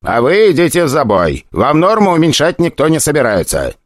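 A bossy female gnome leader barks orders in a high, ringing voice, as a man voices her. She is curt and commanding, running her work crew in a fantasy audiobook. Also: wildly swooping pitch, a little fast, dry recording, studio quality.

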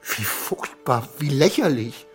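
An actor playing an anxious, self-pitying clerk who mutters agitatedly to himself in a radio play, swinging between dread and defiance. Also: male voice, mid-range voice, animated, slow, soft background music. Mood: aghast, indignant. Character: anxious, self-pitying male clerk, brooding over his double.

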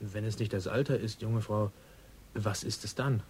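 An actor playing a grumpy, sarcastic police colleague and pub regular in a naturalistic radio drama, gruff and sardonic. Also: male voice, fairly low pitch, fairly even intonation, moderate pace, a little mellow, slight background noise, natural voice. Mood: sarcastic, exasperated.

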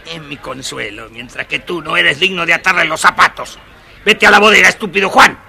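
Grumpy old dad in a kids audio tale, scornful, sharp piercing voice, bit high for a man. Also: fairly quick, vocal fry, heavily acted. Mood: angry scolding. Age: older. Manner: blustering, scornful, exclamatory. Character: harsh, scolding old artisan father favoring one son. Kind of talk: children's audio story acting. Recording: old children's record with audible background noise.

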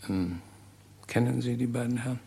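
An actor playing a brisk, probing Scotland Yard detective inspector in a crime radio play. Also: male voice, medium pitch, creaky, warm tone, lightly acted. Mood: brisk, courteous. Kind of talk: German radio crime drama acting.

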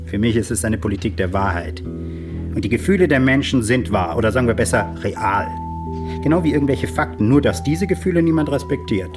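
An actor playing a smug, condescending populist politician in a measured, provocative, rhetorical manner. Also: male voice, mid-range voice, lively intonation, music in the background, lightly acted. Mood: self-righteous.

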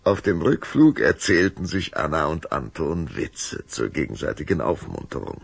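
A man's voice: a bass voice, sing-song, normal speed, gravelly, breathy edge, dry recording, exaggerated voice. A man narrating a children's vampire audio drama as a dramatic, suspenseful storyteller. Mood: calm, storytelling.

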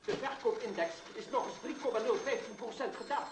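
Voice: medium pitch, a little fast, close to an everyday voice. An actor playing a businesslike office aide in a Dutch radio play, announcing reports in clipped phrases. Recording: echoey, noisy old radio scene.

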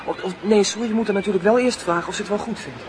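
A high-pitched, earnest teenage boy played by a man in a radio drama. He is lovestruck and nervous, hesitating and then insisting on every detail. Clear ambient noise surrounds the voice. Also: rapid-fire, close to an everyday voice. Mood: shy, eager. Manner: insistent, hesitant, repeats instructions.

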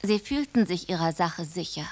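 A woman's voice: lowish voice, lively intonation, normal speed, a little hiss or hum, lightly acted. An actress playing a young noblewoman who narrates her own adventure in a scripted radio drama. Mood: suspenseful. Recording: music behind.

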